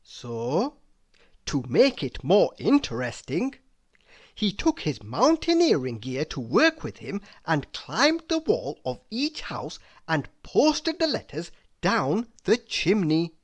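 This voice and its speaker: This is a storyteller reading a children's book aloud. The pace is slow and deliberate, and the pitch swings widely from phrase to phrase. Key words are stretched out for emphasis. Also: huge pitch swings. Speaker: storybook reader.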